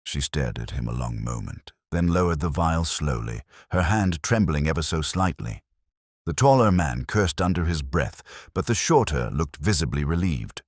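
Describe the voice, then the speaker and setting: A man's voice: rumbling low, animated, normal speed, slightly rough, no echo, studio quality. A man narrating a classic detective story from a script in a dramatic, formal storytelling manner. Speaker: audiobook narrator, reading as a first-person storyteller.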